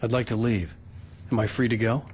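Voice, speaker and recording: A man's voice: on the low side, fairly even intonation, a little fast, a little mellow, a little hiss or hum, natural voice. A man playing a troubled, weary writer in a game, speaking in a terse, understated way. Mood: curt. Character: troubled male writer, brooding and weary, narrating his nightmare. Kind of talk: dramatic game acting with first-person scripted narration. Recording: music behind the voice.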